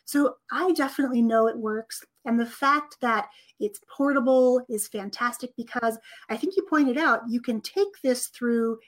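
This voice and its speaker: A woman hosts a relaxed interview and keeps things upbeat and friendly. Her voice sits somewhat high. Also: normal speed, hardly any pauses. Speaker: interview host. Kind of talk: casual interview chat.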